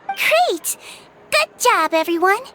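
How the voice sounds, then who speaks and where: Very high, sweet childlike nurse. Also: female voice, sing-song, slow pace, a little hiss or hum, exaggerated voice. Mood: cheerful. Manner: gentle, reassuring, earnest. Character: sweet, caring, childlike young head nurse girl. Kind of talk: anime game voice acting.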